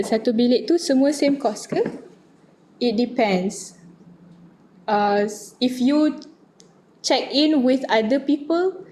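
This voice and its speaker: A woman's voice: a little high, a little mellow, a little hiss or hum. A young Malaysian woman with a Malaysian accent, answering student questions in a casual online session, switching between Malay and English. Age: young adult. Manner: mixes Malay and English, reads questions aloud then answers.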